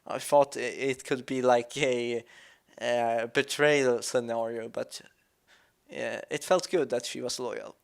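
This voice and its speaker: Slow, halting man. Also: mid-range voice, steady tone, creaky, clear, resonant tone. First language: Swedish. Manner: halting, searching for words, thoughtful. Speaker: let's play commentator. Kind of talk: reflective gameplay commentary.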